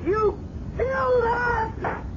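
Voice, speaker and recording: A man's voice: very high-pitched, steady tone, very slow, heavily acted. An actor playing a weak, anguished young man in a 1970s radio drama, stammering and pleading in faltering phrases. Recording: muffled, noisy 1970s radio drama recording.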